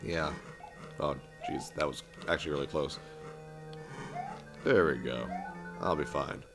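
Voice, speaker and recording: Young male let's play host, deep flat monotone, rambling commentary, game music playing underneath. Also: a little slow. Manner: self-described monotone, rambling with filler and restarts. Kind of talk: casual gameplay commentary.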